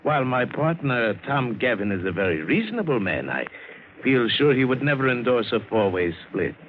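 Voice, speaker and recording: An actor playing a smooth, scheming gun-runner with courteous menace in a vintage radio drama. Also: male voice, mid-range voice, very animated, narrow, tinny audio, natural voice. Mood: oily, polite. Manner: suave, deliberate, falsely courteous. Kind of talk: 1950s radio drama acting. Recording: thin, hissy old radio recording.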